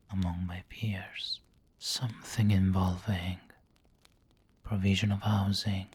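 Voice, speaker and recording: A man playing a refined, possessive vampire lord who dotes on the listener in an intimate, whispery ASMR roleplay, speaking formally and deliberately. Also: sits low, a little mellow, heavily acted. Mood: haughty, musing. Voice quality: breathy, whispery, husky. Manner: slow, deliberate, formal, pausing mid-phrase. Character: aristocratic male vampire lord, possessive, doting, refined and snobbish.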